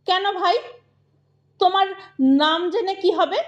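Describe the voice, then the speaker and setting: A woman's voice: very high voice, fairly even intonation, a little slow, dull audio, voice pushed up. A woman voicing a wary, inquisitive middle-aged mother out shopping in an audio story. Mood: wary, insistent. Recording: echoey, reverberant voice track.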